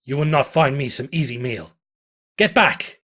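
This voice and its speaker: A man voicing a frightened young male unicorn explorer who shouts defiantly in a fan reading. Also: medium pitch, animated, normal speed.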